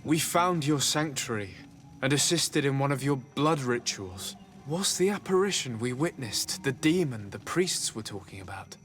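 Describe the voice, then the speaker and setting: A man playing a level-headed young envoy in a fantasy game, polite, formal and measured. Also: medium pitch, lively intonation, a bit bright, soft background music, natural voice. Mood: composed, inquiring. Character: level-headed, courteous young male colonial envoy and investigator.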